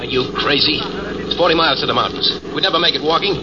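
A man playing a fast-talking tough robber. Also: a little high, natural voice. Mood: tense. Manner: clipped, impatient, contemptuous. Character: hard-boiled young male bank robber, curt and tough. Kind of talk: 1940s radio acting. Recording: dull old radio recording with hiss.

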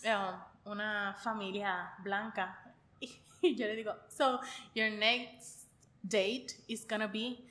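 A woman's voice: medium pitch, fairly even intonation, slow, fairly bright tone. A woman from Puerto Rico with a Puerto Rican accent, casually telling her own story as a guest.

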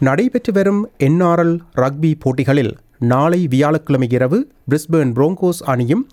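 A man with a somewhat high-pitched voice presents the news. He reads from a script in a formal, measured way, item by item. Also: a little mellow.

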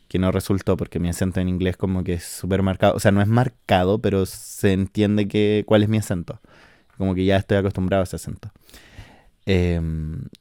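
Chilean man, low mellow voice, casual monologue. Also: clear, resonant tone, no echo, studio quality. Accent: Chilean Spanish. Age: 20s. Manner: chatty, slangy, swearing, filler-heavy. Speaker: podcast host. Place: house in the countryside.

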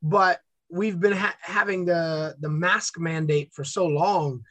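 Bright high-voiced upbeat man. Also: steady tone, takes it slowly, no echo. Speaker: guest content creator. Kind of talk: casual interview chat.

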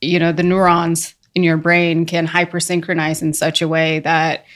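A woman's voice: low-pitched, completely flat delivery, moderate pace. A woman in her thirties, a neurologist guest, explaining her training in a friendly, chatty way with easy laughs. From India. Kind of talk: casual podcast conversation.

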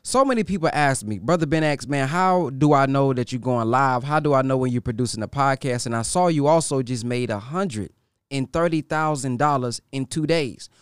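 A man's voice: medium pitch, moderate pace, smooth, no echo, studio quality. A man reading an upbeat promotional spot in a salesy manner. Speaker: promo announcer.

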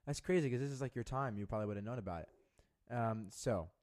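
A man's voice: medium pitch, fast talker, a little mellow. Young guy on a podcast, rambly, swears a lot, keeps restarting his sentences. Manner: profane, rambling storyteller with many restarts. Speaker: podcast co-host. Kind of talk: casual chat.

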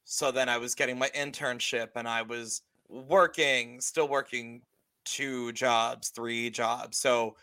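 Bright-voiced thirty-year-old man chatting casually. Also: mid-range voice, fairly even intonation, slow. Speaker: podcast co-host. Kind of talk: casual chat.

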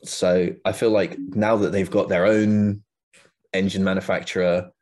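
A man's voice: low-pitched, little pitch movement, a little slow, darkish tone. A man in his late twenties chatting casually as the host of a podcast. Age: late 20s.

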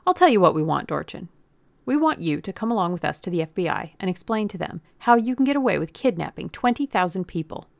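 Audiobook hero at breaking point: blunt, threatening man acted by a woman, gravelly edge, dry recording. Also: fairly low pitch, big pitch swings, fast talker, no room sound. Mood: determined. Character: desperate, defiant armed man pushed to breaking point. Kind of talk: audiobook character acting.

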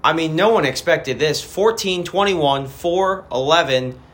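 A man hosting a casual fantasy football podcast, his voice raspy from a weekend party, rambling with frequent restarts. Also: on the high side, a little slow, fairly bright tone. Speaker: podcast host.

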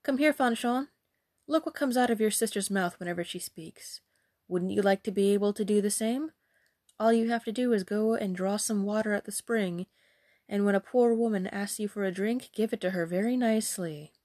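A woman's voice: medium pitch, normal speed, a little mellow, close to an everyday voice. A woman voicing a harsh, greedy, commanding mother in a storybook tale, full of exclamations. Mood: bossy.

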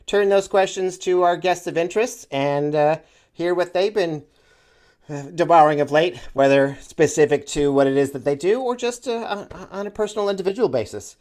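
A man co-hosting a podcast, introducing a guest in a halting, filler-heavy way with playful wordplay. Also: on the high side, a little mellow. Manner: hesitant, full of "uh" fillers, wordplay. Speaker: podcast co-host. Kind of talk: casual podcast chat.

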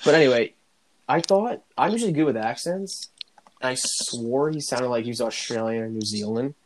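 A man's voice: medium pitch, lively intonation, moderate pace. Male American sports podcast host, casual banter, noticeable noise and clicks behind the voice.

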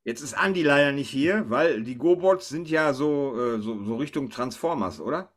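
A man's voice: medium pitch, animated, normal speed. A man hosting a casual panel chat, thinking aloud and often tossing questions to the others. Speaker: podcast host.

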